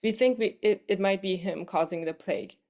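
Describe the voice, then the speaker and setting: A woman plays an anxious mother in a stage play reading. She is fretful and quick to blame herself. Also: mid-range voice, a little mellow, lightly acted. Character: anxious, guilt-ridden mother of a six-year-old prince.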